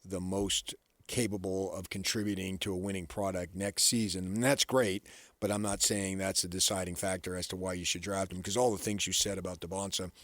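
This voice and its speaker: American male sports radio co-host, deep register, conversational and halting delivery, dry studio sound. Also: level tone, fairly bright tone, no echo. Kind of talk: casual sports talk commentary.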